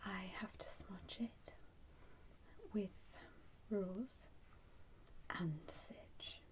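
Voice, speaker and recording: Slow whispering woman in ASMR roleplay. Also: mid-range voice. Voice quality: breathy, mostly unvoiced whisper. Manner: slow, gentle, reassuring, murmuring step by step. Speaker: ASMR roleplay performer playing a soup shop keeper.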